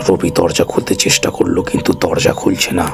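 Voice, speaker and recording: A man's voice: deep voice, level tone, fairly quick, gravelly, music in the background. A man narrating a scripted horror story as a storyteller.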